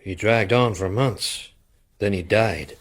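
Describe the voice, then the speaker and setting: Husky, low-voiced businessman. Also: slow pace. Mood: matter-of-fact. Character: blunt American businessman bearing grim news. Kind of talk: radio drama acting.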